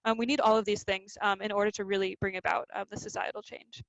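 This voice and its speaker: A woman's voice: medium pitch, fairly even intonation, fast, fairly bright tone, no echo, highs cut off. A woman of twenty giving a casual, filler-heavy presentation as a youth climate organizer. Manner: filler-heavy, loose explanatory talk.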